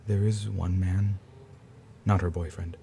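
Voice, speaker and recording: A guarded, grieving brother, played by a man, with a very deep, gravelly voice. He speaks quietly and haltingly, often stumbling and restarting, with a faint hiss behind him. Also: level tone.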